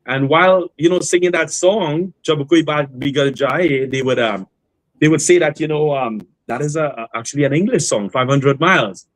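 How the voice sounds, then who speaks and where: A man, a guest singer on a remote call, telling his story in a relaxed, rambling way with many fillers and restarts. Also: on the high side, moderate pace, no echo, low-quality recording. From Trinidad and Tobago. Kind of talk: casual interview storytelling.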